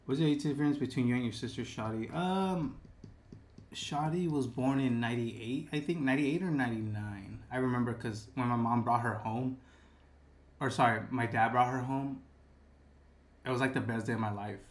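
A man's voice: medium pitch, moderate pace. Chill twenties guy on a live stream, says bro a lot, just talking to chat from his desk. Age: 20s. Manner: laid-back, drops "bro" a lot, reads chat aloud. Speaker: livestreamer. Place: dark room with gaming chair and lights.

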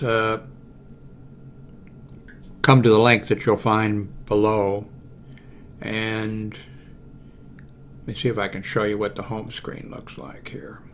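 An American man in his seventies giving a casual, unscripted computer walkthrough, haltingly thinking aloud. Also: on the low side, fairly even intonation, slight background noise. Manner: halting, thinking aloud while clicking through pages. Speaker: video blogger giving a how-to demonstration. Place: small room at home, indoors. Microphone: large desktop microphone close to the mouth.

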